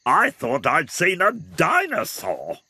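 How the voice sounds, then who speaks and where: An actor playing a fussy, easily flustered middle-aged British oddball in a cartoon, muttering short exclamations and indignant grumbles. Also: male voice, on the high side, wildly swooping pitch, slow pace, pushed and bright, husky, heavily acted. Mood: disappointed, indignant. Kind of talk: cartoon voice acting.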